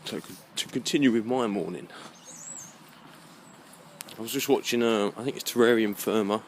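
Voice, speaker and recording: A man giving a rambling, unscripted monologue in a halting, musing way, often repeating his phrases. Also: lowish voice, a little hiss or hum. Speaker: vlogger.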